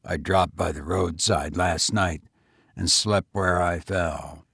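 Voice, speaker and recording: Male reader as a weary gentleman tramp; low, hushed, dry, unhurried. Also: steady tone, gravelly, slightly rough, no room sound, exaggerated voice. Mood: grim. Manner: grim, subdued, clipped.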